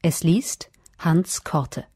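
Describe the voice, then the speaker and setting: A woman's voice: low voice, very slow, warm tone, slightly rough, no echo, studio quality. A woman formally announcing a title and credits from a script.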